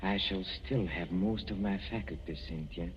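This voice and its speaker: An actor playing a coldly menacing, jealous poisoner husband who speaks with deliberate, mocking tenderness in 1940s radio suspense style. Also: male voice, sits low, natural voice. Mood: cold, gloating. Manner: deliberate, sardonic, mock-affectionate. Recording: muffled, hissy 1940s radio recording, reverberant.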